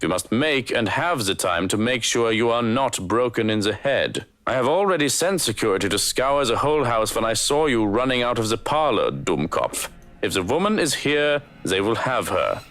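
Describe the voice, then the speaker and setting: An actor in a visual novel game playing a stern, stoic manservant who scolds in a formal, precise, dry way. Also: male voice, mid-range voice, very animated, normal speed, a bit bright. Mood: stern, scolding. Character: stern, stoic, scolding male head servant and protector. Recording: music behind the voice.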